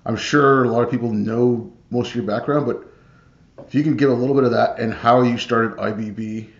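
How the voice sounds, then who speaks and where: A man's voice: mid-range voice, steady tone, a little fast, gravelly, echoey room, highs cut off. A man hosting an interview, introducing his guest in a friendly, informal way. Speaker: host.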